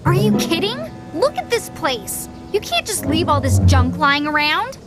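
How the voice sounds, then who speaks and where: A woman voicing a bright, bossy, sarcastic young girl in a cartoon video game. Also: high-pitched, sing-song, a little slow. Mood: exasperated. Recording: loud music under the voice.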